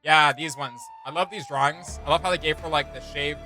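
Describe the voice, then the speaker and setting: A man's voice: on the high side, a little fast, over music, poor audio. A young man giving enthusiastic, slangy, casual commentary as he hosts a reaction video. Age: young adult. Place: indoor home studio room.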